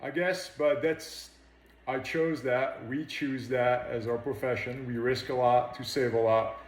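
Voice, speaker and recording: A man speaks in an interview as a firefighter. His medium-pitched voice sounds plain and modest, recorded with a noticeable room echo. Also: a little mellow, slight background noise. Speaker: firefighter officer. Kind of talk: interview account of an incident.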